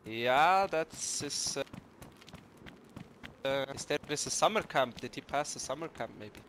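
Swiss gamer with slightly halting English. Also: male voice, medium pitch, animated, a bit bright. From Switzerland. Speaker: video game player. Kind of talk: casual chat during online gameplay. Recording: faint noise over game voice chat.

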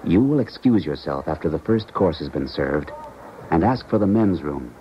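Slightly noisy, muffled old radio sound frames a male scientist in a defection drama. His voice is low, with precise, educated wording. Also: animated, moderate pace. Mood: assured, precise. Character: cultured, uneasy male scientist helping a colleague defect. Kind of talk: 1970s American radio drama acting. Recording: muffled 1970s radio recording, faint noise.

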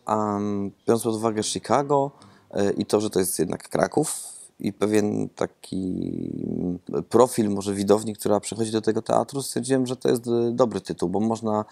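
A man's voice: fairly low pitch, moderate pace, vocal fry, darkish tone, no echo. A man in his thirties, a theatre director, giving rambling, thoughtful interview answers with many hesitations and repeated words, seated in an empty theatre auditorium and speaking into a lapel mic.

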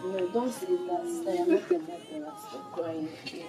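A woman's voice: lowish voice, sing-song, normal speed. An actress with a Nigerian accent playing a worried woman who pleads and comforts in a film drama. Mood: worried, pleading. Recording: echoey, distant mic, loud music behind.

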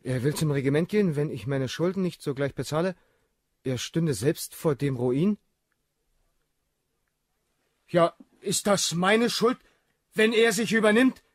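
An actor playing an indignant, self-justifying young gentleman officer in a period radio drama, with a husky voice. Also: a little high, no echo, studio quality.

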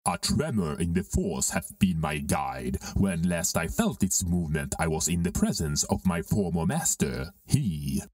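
A man playing a grave, vengeful dark lord, declaiming Shakespearean verse in an amateur dramatic reading. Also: low-pitched, big pitch swings, a little slow, few pauses, no room sound, clean studio sound, distant mic. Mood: grave, certain.

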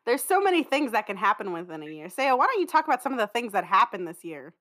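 A woman's voice: medium pitch, sing-song, fast talker, a bit bright. A young woman co-hosting a podcast, chatting casually with a dry, self-deprecating, joking manner. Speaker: podcast co-creator and host. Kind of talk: casual banter and thank-you message.

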